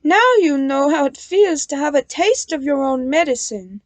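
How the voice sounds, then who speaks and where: A woman's voice: sits high, lively intonation, normal speed, no echo, pitched above the performer's own voice. A woman voicing Nia, a clever, teasing female engine, in a knowing tone. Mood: stern.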